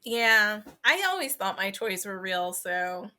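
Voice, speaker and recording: A woman's voice: medium pitch, expressive, slow pace, bright, forceful tone. A woman co-hosting a podcast, chatting informally with a joking manner. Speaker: podcast co-host. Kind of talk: casual chat.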